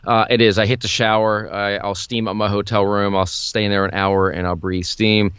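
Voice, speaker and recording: A man co-hosting a podcast about singing, talking in a confident, brisk, plain-spoken way. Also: on the low side, little pitch movement, fairly bright tone, smooth, dry recording. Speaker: vocal coach and author, podcast co-host. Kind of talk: casual podcast chat. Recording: dull, lo-fi dry sound.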